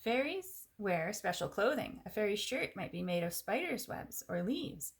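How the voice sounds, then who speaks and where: Woman doing a picture book read-aloud, husky, very animated intonation, chatty little asides. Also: mid-range voice, normal speed.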